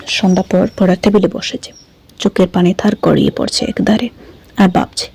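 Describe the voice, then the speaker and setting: Woman audiobook narrator, mid-pitched voice, reading a scripted story. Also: a little fast, creaky, a little mellow, a little hiss or hum.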